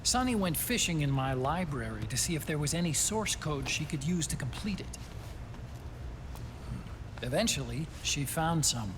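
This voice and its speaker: Earnest, higher-voiced American scientist. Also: male voice, sing-song, moderate pace, noisy background. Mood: reflective. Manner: earnest, explanatory. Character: earnest, thoughtful male scientist, gentle and loyal. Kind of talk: dramatic video game acting.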